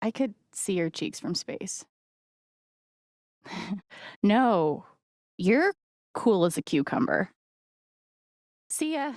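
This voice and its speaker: An actress playing a friendly, wry and earnest young woman in a video game, talking in a casual, gently teasing way. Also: mid-range voice, sing-song, slow, pauses often, dry recording, studio quality. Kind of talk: naturalistic video game dialogue acting.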